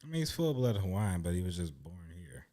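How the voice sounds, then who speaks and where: A man's voice: deep voice, sing-song, brisk, warm tone. A man with a Chicago accent dropping short, dry interjections into a casual chat.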